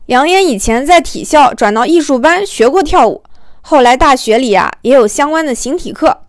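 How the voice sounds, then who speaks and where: A woman with a high voice reads a light romantic comedy novel as an audiobook. She tells it expressively and colloquially, drawing out little particles like a storyteller. The sound is dry and close, with no room to it. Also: lively intonation, normal speed, dry recording. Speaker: audiobook narrator. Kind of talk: expressive scripted narration of a comic romance novel.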